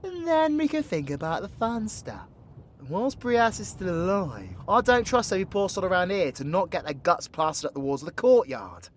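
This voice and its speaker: A cocky young British warlock, acted by a man for an audio drama, pitched a little high for a man and very animated. He is slangy, irreverent and foul-mouthed, always ready with a joke. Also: normal speed, slight background noise. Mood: cheeky, cocky.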